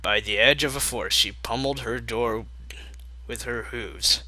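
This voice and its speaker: A man with a very sharp, forceful voice reads fan fiction as narrator. He is sarcastic and exasperated, dropping mocking asides. The sound is close and dry. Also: mid-range voice, moderate pace, dry recording, rough recording.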